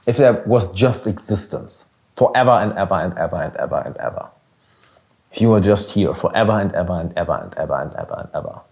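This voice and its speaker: Earnest German-accented man, lowish voice. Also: expressive, brisk, darkish tone. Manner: earnest, emphatic, swearing now and then. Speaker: podcast host and business coach. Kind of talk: casual motivational monologue.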